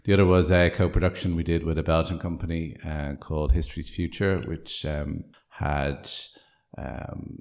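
A man who is a film producer, answering interview questions in a relaxed, rambling way with many fillers. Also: low voice.

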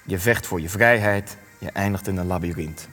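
A frail old war veteran in a radio play. His voice sits fairly low and he muses haltingly, wistful and bitter, repeating himself. Faint music plays behind him. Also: a bit bright, long reverb tail. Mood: weary, bitter. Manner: hesitant, rambling, musing, with stammered repetitions. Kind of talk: radio drama acting.

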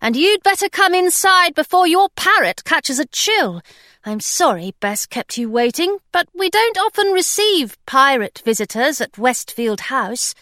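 A bold, spirited young pirate captain from a storybook, brisk and commanding with a bright, high, lively voice, as a woman narrator puts her on. The sound is close and dry. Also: no echo, heavily acted.

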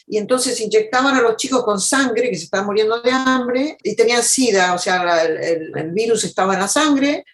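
A woman's voice: fairly high pitch, normal speed, few pauses. Reflective woman recounting in an interview. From United States, Argentine family. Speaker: journalist guest being interviewed. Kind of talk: conversational interview storytelling.

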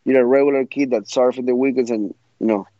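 A man's voice: mid-range voice, fairly even intonation, fast talker, mellow, dry recording, muffled recording. A young man from Ecuador, giving frank, blunt interview answers full of restarts. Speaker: guest fighter.

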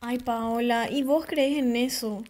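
A woman playing a skeptical, bossy young university student in an audio drama, with a brisk, chiding manner and a Paraguayan accent. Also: fairly high pitch, fairly even intonation, darkish tone.

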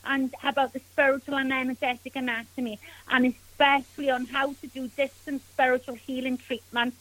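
A woman's voice: high voice, level tone, fairly quick, fairly bright tone, rough recording. A woman with a Welsh accent, a spiritual healer speaking as a guest on a radio interview, earnest and gushing with thanks.

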